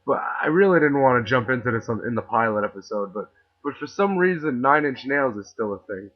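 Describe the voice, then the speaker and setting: A man's voice: mid-range voice, expressive, a little fast. A man with a New York accent hosting a podcast, ranting in an opinionated, sarcastic way and rambling with frequent restarts. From New York City. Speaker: podcast host. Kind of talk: casual ranting monologue. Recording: tinny phone-like audio.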